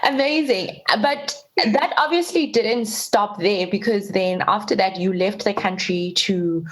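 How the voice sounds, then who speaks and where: A woman's voice: mid-range voice, measured pace, poor audio. A woman hosting an interview, asking long, encouraging questions in a conversational way. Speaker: host.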